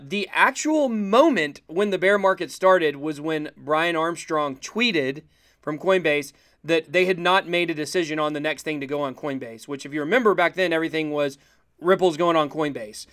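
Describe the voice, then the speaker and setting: A man's voice: on the high side, moderate pace, fairly bright tone, no room sound, clean studio sound. A man in his thirties hosting a casual livestream interview, talking in a conversational, explanatory way. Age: 30s. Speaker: livestream host.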